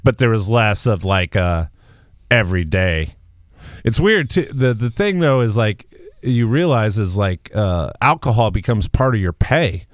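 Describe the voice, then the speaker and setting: A man who is a guest on a podcast, a comedian and punk singer, talking loudly and easily in casual conversation and often laughing. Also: fairly low pitch, expressive, unhurried, dry recording, clean studio sound. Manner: loud-voiced, laughing, easygoing storyteller.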